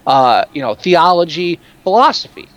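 Animated, profane co-host, lively high voice. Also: male voice, normal speed. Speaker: podcast co-host. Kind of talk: casual movie chat.

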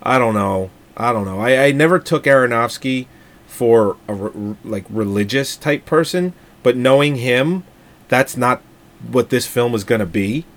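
A man's voice: mid-range voice, moderate pace, fairly bright tone. A man co-hosting a casual movie podcast, joking crudely and laughing often as he chats about films. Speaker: podcast co-host.

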